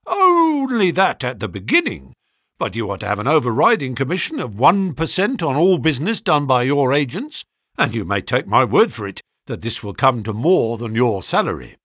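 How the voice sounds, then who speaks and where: A man voicing a brisk, flattering financial agent in a story, speaking sharply with grand, pushy salesmanship. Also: mid-range voice, breathy edge, dry recording, clean studio sound. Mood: salesmanlike, grandiose. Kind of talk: audiobook character dialogue.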